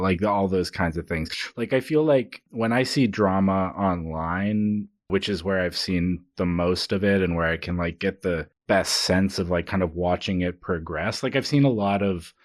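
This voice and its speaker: A man's voice: low-pitched, no room sound, studio quality. A man co-hosting a podcast, talking casually and informally as he thinks aloud. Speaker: podcast co-host. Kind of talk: casual conversational discussion.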